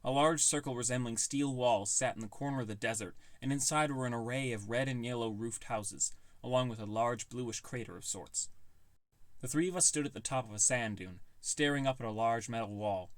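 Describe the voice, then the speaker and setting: A man's voice: medium pitch, fairly quick, no echo. A man reading scripted first-person narration for a fantasy audiobook.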